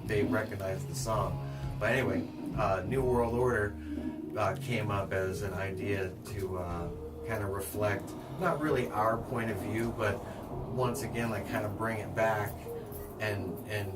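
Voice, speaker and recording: A band musician with a lowish voice chats in a relaxed, rambling way, filling pauses as he goes. He sounds set back from the mic. Loud music plays behind him in a bar's back room. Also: recorded from a distance. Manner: rambling, easygoing, many fillers. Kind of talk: casual interview chat.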